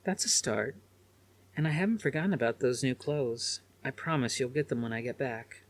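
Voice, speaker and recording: A man voicing a loving, weary mother with a gentle, anxious manner in an audiobook. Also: medium pitch, normal speed, voice pushed up, lightly acted. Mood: tender. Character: loving, worried, weary mother, adult woman.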